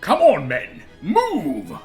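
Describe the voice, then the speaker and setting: Guy putting on a bossy viking boss, threatening, higher voice, music behind. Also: wildly swooping pitch, very slow, a little mellow, heavily acted. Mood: commanding. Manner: barking, threatening orders. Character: menacing, commanding male Norseman raider leader.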